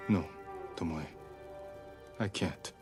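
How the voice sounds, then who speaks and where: Stoic samurai lord, really low voice, serious and restrained, video game cutscene style. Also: male voice, slow pace, over loud music. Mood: grave. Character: stoic, honourable samurai lord, burdened but merciful. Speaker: game character actor. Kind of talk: dramatic video game acting.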